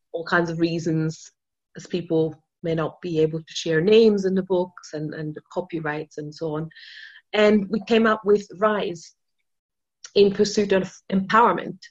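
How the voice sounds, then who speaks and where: Brief: low-voiced non-native woman from Austria, keen interview guest, muffled lo-fi recording. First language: not English. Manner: enthusiastic storytelling with many restarts and fillers. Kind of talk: casual interview talk.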